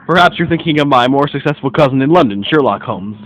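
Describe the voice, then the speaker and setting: A man playing a brisk, formal, self-assured private detective in a radio mystery. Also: medium pitch, animated, a bit bright, slight background noise, close to an everyday voice, rough recording. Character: brisk, observant American gentleman private detective. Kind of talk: radio mystery play acting.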